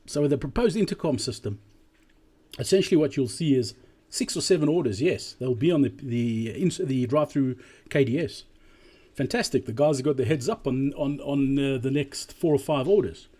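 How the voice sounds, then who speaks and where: A man giving an explanatory business presentation as a voice-over, speaking deliberately and emphatically and repeating key phrases, with a slightly husky voice. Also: mid-range voice, dark tone. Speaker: presenter analysing drive-through performance.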